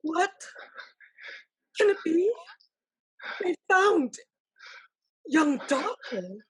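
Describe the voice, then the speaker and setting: Slow, high-voiced menacing sorceress. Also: female voice, big pitch swings, fairly bright tone, full character voice, poor audio. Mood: imperious outrage. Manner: grand, theatrical, drawn-out delivery. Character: menacing, imperious female dark sorceress, outraged. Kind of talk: staged reading of a stage play.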